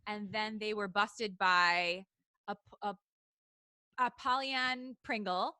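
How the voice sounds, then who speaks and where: A woman's voice: medium pitch, fairly even intonation, slow, sharp tone, dry recording. A woman co-hosting a casual, comedic podcast chat, giggly and a little hesitant as she talks. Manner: giggly, hesitant when recapping. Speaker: podcast co-host. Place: recorded remotely over a video call.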